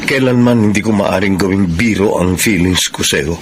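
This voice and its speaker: An actor with a Filipino accent playing an earnest, lovestruck suitor in a melodramatic radio drama. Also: male voice, low-pitched, moderate pace, lightly acted. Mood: ardent pleading. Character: ardent, lovestruck male suitor pleading his love. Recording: noisy audio with ambient sound.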